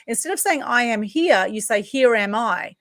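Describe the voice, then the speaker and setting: A woman's voice: fairly high pitch, expressive, moderate pace, a bit bright, no echo. A middle-aged Australian woman hosting an interview, chatty and emphatic with many fillers. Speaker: interview host. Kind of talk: casual interview chat.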